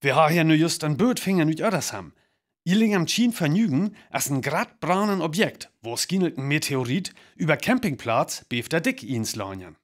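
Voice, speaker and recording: Serious male newsreader for radio drama: fairly high voice, North Frisian accent, formal bulletin reading. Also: very animated, moderate pace. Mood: serious, reporting. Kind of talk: radio play acting as news reading.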